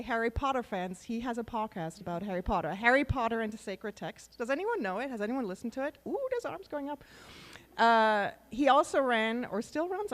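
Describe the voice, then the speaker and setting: A woman hosting a live event, introducing a speaker on stage in an enthusiastic, chatty way. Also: on the high side. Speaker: event host. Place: large venue on stage.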